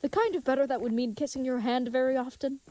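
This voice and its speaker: A woman's voice: high voice, quick pace, a little mellow, a little hiss or hum, lightly acted. A woman playing a big, earnest, dim-witted young knight in an audio drama, speaking in florid, old-fashioned, chivalrous phrases. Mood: flustered, smitten.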